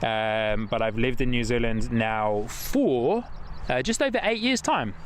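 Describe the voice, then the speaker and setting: A man's voice: medium pitch, expressive, a little slow, a little hiss or hum. A man originally from the UK hosting a casual plane-spotting livestream, talking enthusiastically and chatting with viewers. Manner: enthusiastic, chatty, reads viewer comments aloud. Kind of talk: casual live commentary. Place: outdoors near an airport.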